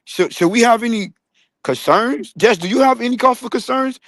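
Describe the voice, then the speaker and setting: A man's voice: extremely high pitch, moderate pace, fairly bright tone, no echo. A man hosting a casual online sports chat who rambles with many restarts and asks his co-hosts questions. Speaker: podcast host. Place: online audio room.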